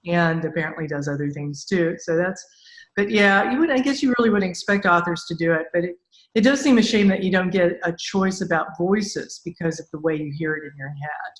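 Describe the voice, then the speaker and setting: Low-voiced chatty woman in an echoey room. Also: brisk. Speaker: podcast host, interviewer. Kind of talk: casual conversational interview.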